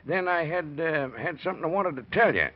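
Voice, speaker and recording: An actor playing an earnest, hesitant village mechanic who repeats himself, in an old-time radio drama. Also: male voice, a little high, lively intonation, a little fast. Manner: hesitant, repetitive, apologetic. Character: folksy village garage mechanic, earnest and dogged. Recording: dull vintage radio recording.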